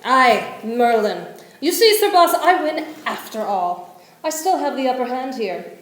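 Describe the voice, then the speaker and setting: A woman's voice: high-pitched, sing-song, measured pace. A woman playing a gloating, menacing old male wizard in a radio play, with a theatrical, declamatory delivery. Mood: gloating menace. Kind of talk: stage-style radio play acting. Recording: echoey room, music behind.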